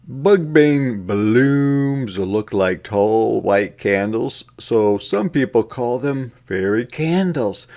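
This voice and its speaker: A man reading a children's counting book aloud in a deliberate, interactive way, with sing-song counting and repetition for young listeners. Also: on the low side, creaky, a little mellow.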